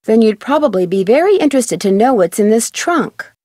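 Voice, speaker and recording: A woman's voice: on the high side, sing-song, a little fast, dark tone, no echo, clean studio sound. A woman playing a polite, earnest young amateur detective in a video game, speaking plainly. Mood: earnest, curious.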